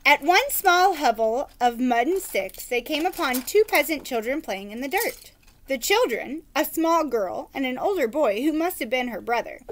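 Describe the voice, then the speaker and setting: A woman reading a children's book aloud as an expressive storyteller. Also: fairly high pitch.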